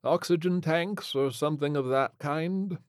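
Man putting on a stuffy, grumbling rich gentleman. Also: on the high side, expressive, a little slow, no echo, studio quality, pitched above the performer's own voice. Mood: faint complaint.

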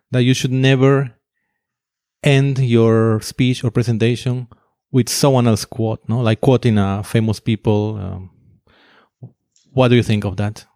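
A man's voice: mid-range voice, mellow, no room sound, studio quality. A man interviewing a guest, giving brief affirmations and asking hesitant questions with fillers.

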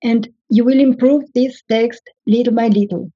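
A woman, a writing coach giving measured, instructive advice in an interview. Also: on the high side, fairly even intonation, husky, no echo, muffled recording. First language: French. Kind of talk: interview answers, advising.

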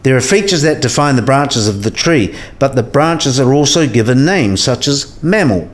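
A man's voice: medium pitch, lively intonation, normal speed, lightly acted. A man playing a learned professor in a radio play, explaining patiently and precisely and asking probing questions. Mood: didactic, patient.

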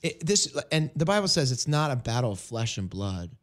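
Hoarse-voiced male radio host. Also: mid-range voice, very animated. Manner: earnest, halting with many restarts. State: hoarse from illness. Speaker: ministry program director.

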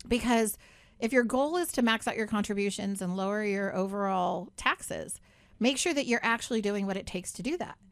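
A woman's voice: mid-range voice, moderate pace, no echo. A woman hosting a radio show in a studio, talking in a chatty, upbeat way with many asides. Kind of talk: casual talk radio chat.

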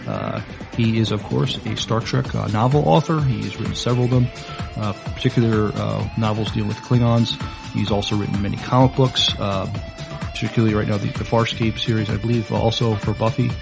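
A man co-hosting a podcast, casually introducing items in a hesitant, halting way. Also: lowish voice, steady tone, vocal fry, over music. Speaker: podcast co-host.

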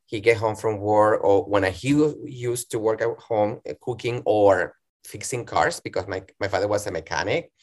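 A middle-aged man from Venezuela with a Venezuelan accent, speaking English as a second language as an interview guest, animated and given to restarts. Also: fairly low pitch, moderate pace. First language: Spanish. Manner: animated, restarts often, acts out his own past words. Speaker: guest performer. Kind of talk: casual interview chat.

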